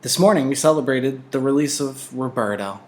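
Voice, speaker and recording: A man voicing a frail, gossipy, slightly prim old woman in a storybook, confiding and animated. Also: mid-range voice, moderate pace, pitched above the performer's own voice, close to an everyday voice. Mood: chatty, prim.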